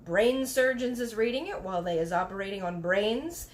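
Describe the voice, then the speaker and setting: A woman voicing an excitable little boy who speaks in childlike broken grammar, in a storybook read-aloud. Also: medium pitch, normal speed, lightly acted. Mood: excited. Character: excitable little boy telling fanciful dreams.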